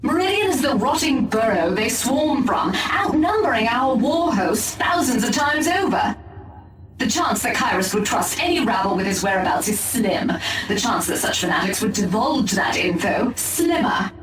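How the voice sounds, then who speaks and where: A woman's voice: on the high side, lively intonation, normal speed, natural voice. An actress playing a disdainful female elf warrior in a video game, speaking in a clipped, imperious, formal way. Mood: scornful. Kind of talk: scripted video game acting. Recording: echoey, distant, music behind.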